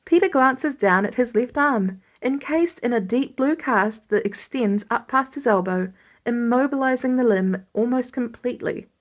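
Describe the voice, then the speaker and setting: A woman narrating fiction in an expressive scripted reading style, as an audiobook reader. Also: on the high side, normal speed.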